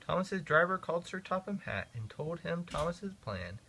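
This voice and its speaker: A man reading a children's storybook aloud to his kids. His delivery is expressive and sing-song, with a fairly high voice. The pitch rises and falls a lot. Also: normal speed, breathy edge.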